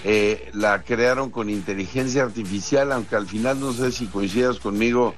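A man with a Mexican accent giving conversational sports news commentary in a measured way, pausing between points. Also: lowish voice. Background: music.

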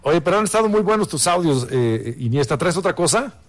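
A man co-hosting a casual show, tossing in quick wisecracks. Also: on the high side, very animated, no room sound. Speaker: co-host. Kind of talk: casual chat.

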